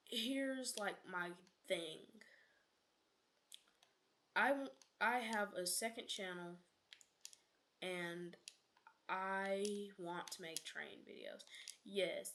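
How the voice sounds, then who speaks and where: Young woman, game streamer, casual rambling chat, gravelly fry, mid pitch, whispered asides, self-corrections. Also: a bit bright.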